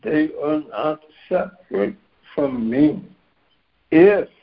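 A hoarse man speaks very slowly and deliberately, his pitch sitting a bit high for a man. He keeps clearing his throat as he shares his spiritual reflections. The phone line sounds dull and lo-fi. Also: lively intonation. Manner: slow and measured, clears his throat often. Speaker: participant in a spiritual reading group call. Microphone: phone on a conference call. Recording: dull lo-fi conference call line.

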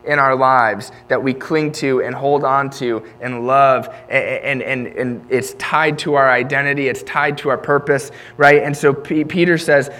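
A man's voice: mid-range voice, steady tone, normal speed, hardly any pauses, fairly bright tone. A man in his late twenties preaching a sermon in an earnest, conversational way, often restarting his sentences. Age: 20s.

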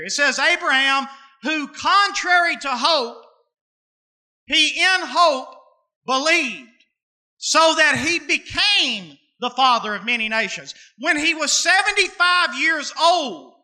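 A man in his thirties preaching with a folksy, conversational touch. His voice sits very high for a man and rings bright and forceful. He slows right down on key words, drawing them out in a preaching cadence. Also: lively intonation. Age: 30s. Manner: folksy and conversational, slips into dialect; preaching cadence with drawn-out emphasis. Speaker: pastor. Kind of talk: sermon.

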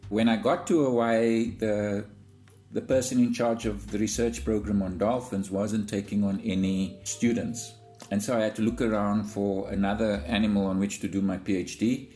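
Low-voiced South African academic. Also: male voice, steady tone, normal speed, dark tone, faint music behind. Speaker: zoologist guest. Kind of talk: explanatory interview answers.

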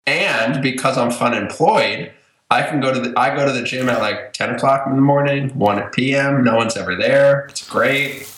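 A man's voice: medium pitch, normal speed, fairly bright tone. A man in his early twenties chatting casually as a podcast co-host, loose and chatty, peppering his talk with "like". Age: early 20s. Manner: loose, chatty, filler-heavy with "like". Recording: roomy, echoing sound.

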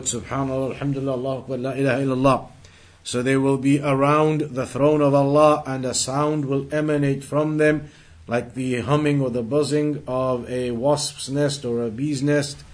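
A man giving an Islamic lesson as a religious teacher, explaining emphatically and repeating and rephrasing his points. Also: medium pitch. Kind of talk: Islamic lesson with recited Arabic quotations and English explanation.

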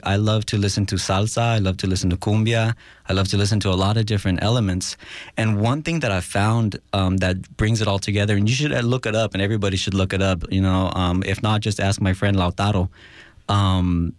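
A young man from Los Angeles, a guest musician talking casually in a radio studio interview, halting and full of fillers, slipping in Spanish words. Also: fairly low pitch, no echo. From Los Angeles, USA.

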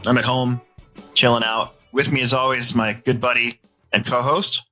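A man's voice: mid-range voice, flat delivery, normal speed, sharp tone, soft background music, low-quality recording. A man in his forties hosting a casual hobby podcast from home, a bit stuffy-nosed, talking in a loose, rambling way. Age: 40s. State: stuffy nose. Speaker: podcast host. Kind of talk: casual chat.

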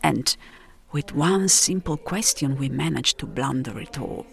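A woman reading a literary first-person travel story, reflective and dramatic, with pauses between phrases. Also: deep voice, gravelly. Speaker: narrator.

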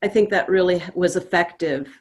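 A middle-aged woman, a playwright, talking in a casual panel discussion in a measured way, pausing mid-phrase. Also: on the low side.

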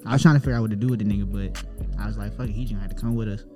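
A man's voice: lowish voice, steady tone, racing, darkish tone, faint music behind. A young man, a rapper, chatting casually and telling a long story in a loose, profane way. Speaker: rapper guest. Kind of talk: casual chat.

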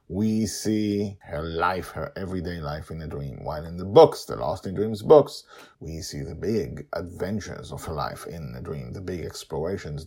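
A man hosting a story podcast, narrating in an animated, theatrical and emphatic way. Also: low-pitched.

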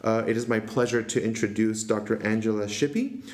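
A man's voice: fairly low pitch, fairly quick. A man hosting a podcast interview, asking questions in a hesitant way with many fillers. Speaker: resident interviewer. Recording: echoey room.

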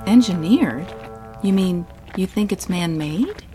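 A woman's voice: on the low side, animated, takes it slowly, warm tone, soft background music. Woman playing an echoey, worried scientist. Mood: questioning. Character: worried, reflective female monster scientist. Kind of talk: audio drama acting. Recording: very echoey, slightly distant from mic.

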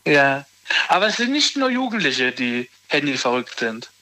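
A man in his thirties chatting casually as a guest, hesitant and halting, often restarting his sentences. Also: medium pitch, big pitch swings, pushed and bright, no echo. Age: 30s. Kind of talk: casual conversation.

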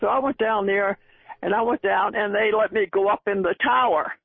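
Woman in her 80s from Boston, chatty storyteller, husky voice, tinny archive recording. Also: mid-range voice, fairly even intonation, normal speed, no echo, faint music behind. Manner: chatty, laughing at herself. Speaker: oral history interviewee. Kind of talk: storytelling recollection.

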